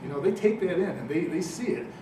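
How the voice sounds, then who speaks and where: Earnest, emotional man, slightly high voice, distant in an echoey room with steady noise. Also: fast talker. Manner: earnest, emotional storytelling with repetitions. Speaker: school district armed officer. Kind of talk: personal sharing in a listening circle.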